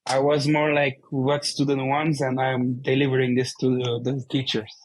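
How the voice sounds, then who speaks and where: Turkish-accented man speaking in a flat, even tone. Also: mid-range voice, normal speed, no room sound, low-quality recording. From Turkey. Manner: explains plainly in non-native English. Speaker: guest, company CEO. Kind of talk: casual interview conversation.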